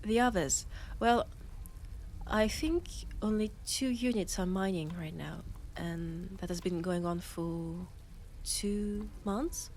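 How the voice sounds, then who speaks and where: A young woman with a French accent playing a laid-off space miner in an audio roleplay, chatting hesitantly with her best friend and trailing off into pauses. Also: fairly low pitch, a little mellow, a little hiss or hum. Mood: rueful.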